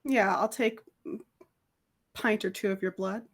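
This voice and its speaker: A woman's voice: medium pitch, fairly even intonation, unhurried. A woman playing a tabletop roleplaying game, speaking casually as she improvises lines in character.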